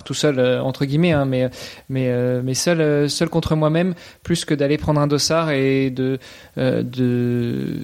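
A man in his thirties from France, talking casually in an interview with many pauses and restarts. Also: a little high, a little mellow. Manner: hesitant, many fillers and restarts. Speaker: podcast guest, athlete.

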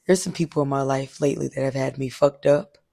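Hoarse dude cohost, deep scratchy fry in the voice, blunt, cusses a lot, jokes around. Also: male voice, fairly even intonation, quick pace, dark tone. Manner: blunt and joking, swears freely. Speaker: podcast co-host. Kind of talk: casual chat.

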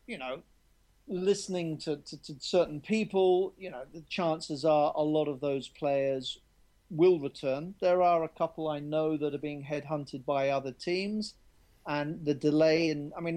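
A man's voice: fairly high pitch, a little mellow. A man being interviewed as a rugby journalist, talking candidly and often restarting his sentences. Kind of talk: conversational interview answers.

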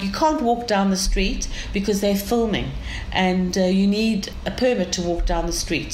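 A woman from South Africa with a South African accent, describing her city as an interview guest in an enthusiastic, storytelling way. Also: mid-range voice. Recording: echoey room with faint ambient noise.

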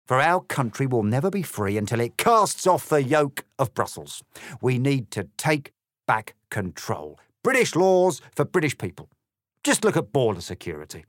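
Man doing pompous ranting cat for a storybook spoof: smug, loud, swooping delivery. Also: mid-range voice, dry recording, studio quality, pitched above the performer's own voice. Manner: blustering, loud and indignant. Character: smug grinning male cat, pompous political ranter.

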